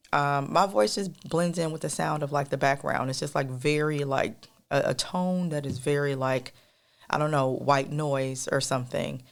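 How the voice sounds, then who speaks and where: A woman co-hosting a podcast in a casual, rambling monologue with a soft, melodic voice, talking straight to listeners as y'all. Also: sits low, vocal fry. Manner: rambling, addresses listeners as y'all, many fillers. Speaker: podcast co-host.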